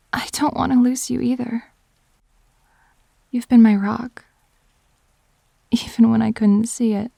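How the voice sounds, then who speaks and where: A woman playing a grieving, apologetic girlfriend who speaks haltingly and tenderly in an intimate audio drama. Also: a little high, gravelly, dark tone, husky, no echo, heavily acted. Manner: halting, tender, confessional delivery.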